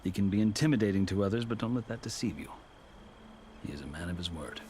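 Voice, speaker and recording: A man playing a dignified, measured former army commander turned diplomat in a video game cutscene. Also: deep voice, animated, warm tone, a little hiss or hum. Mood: earnest, advising. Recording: music behind the voice.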